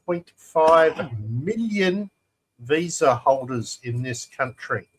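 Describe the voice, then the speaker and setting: A man's voice: fairly high pitch, expressive, slow, rough recording. A man co-hosting a live chat show, speaking emphatically and repeating key numbers to drive them home. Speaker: co-host. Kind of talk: casual chat.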